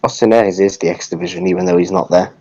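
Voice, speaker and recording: Low, mellow man on a dull mic. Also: steady tone, very fast, slight background noise. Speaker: podcast co-host. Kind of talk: casual wrestling podcast chat.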